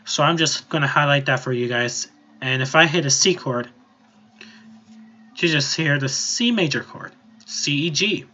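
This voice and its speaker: Bright, higher-pitched man giving a tutorial. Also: a little slow, pauses often, muffled recording. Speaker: tutorial host. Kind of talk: casual screen-recorded software tutorial.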